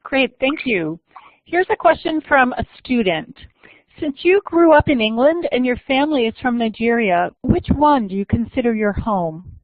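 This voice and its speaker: A woman moderating an online discussion, reading questions in a brisk, polite way. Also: a little high, expressive, no room sound. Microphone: online call audio. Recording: dry, tinny phone-like line.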